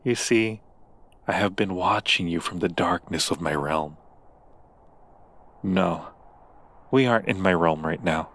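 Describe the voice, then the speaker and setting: Obsessive demon prince in human form, played by a man: low, slow, deliberate, tenderly menacing seducer for ASMR roleplay. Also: fairly bright tone, exaggerated voice. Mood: smooth, reassuring.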